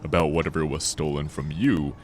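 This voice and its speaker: A man's voice: very deep voice, flat delivery, fairly quick, slight background noise. A man playing a hulking, wary bandit who talks hesitantly and placatingly, in an anime fandub. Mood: nervous, placating. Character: hulking deep-voiced male bandit, wary, backing down.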